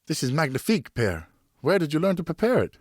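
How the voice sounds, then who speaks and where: A man's voice: fairly high pitch, very animated, fast talker, breathy edge, no echo, clean studio sound, pitched above the performer's own voice. A man voicing an eager, admiring young French son learning to hunt, in an audiobook. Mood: delighted. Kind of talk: audiobook character dialogue.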